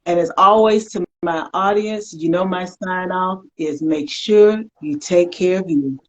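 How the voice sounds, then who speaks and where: A woman hosting a live online interview show with an emphatic, deliberate, announcer-like delivery. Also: on the low side, a little hiss or hum. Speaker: show host. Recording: slightly dull, lo-fi stream.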